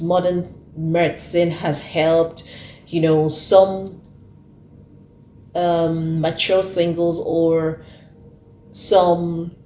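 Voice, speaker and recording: A woman's voice: low-pitched, dark tone, roomy sound, slight background noise. A woman in her thirties hosting an earnest talk, who repeats her points for emphasis. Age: 30s. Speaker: host. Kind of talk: casual talk to camera.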